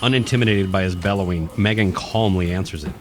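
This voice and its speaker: A man's voice: deep voice, quick pace, background noise. A man reading scripted narration for a horror radio play. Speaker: narrator, podcast host. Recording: music playing behind the voice.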